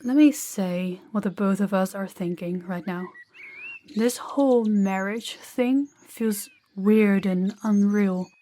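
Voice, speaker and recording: A woman playing a gentle, candid young princess in a roleplay audio drama, speaking softly and confidingly to the listener. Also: mid-range voice, slow, close to an everyday voice. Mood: frank, reassuring.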